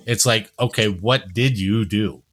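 A man from New Jersey hosting a casual news chat, jokey and quick to laugh. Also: fairly low pitch, fairly bright tone. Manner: jokey, laughs often, tells stories. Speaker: podcast host.

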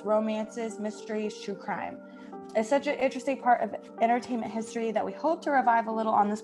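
A woman hosting a podcast, reading a scripted introduction. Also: medium pitch. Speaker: podcast host.

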